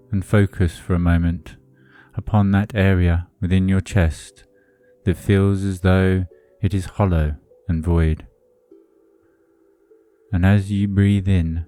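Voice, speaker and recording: Slow, very low-voiced man guiding meditation. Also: mellow. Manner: soothing, deliberate, measured phrasing. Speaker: guided meditation host. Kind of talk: hypnotic guided meditation narration. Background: music under the voice.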